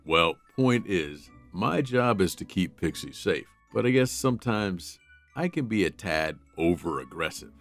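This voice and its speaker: A stern cartoon German shepherd acted by a man, blunt and deadpan. The voice sits low but moves around expressively, over faint music. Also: unhurried. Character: gruff, stern male German shepherd guard dog. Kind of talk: comic dub character acting.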